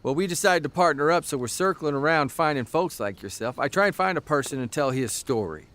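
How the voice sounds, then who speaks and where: An actor playing a genial, rambling newspaper columnist in an audio drama, chatty and halting with folksy turns of phrase. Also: male voice, a little high, lively intonation, a bit bright. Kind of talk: naturalistic audio drama dialogue.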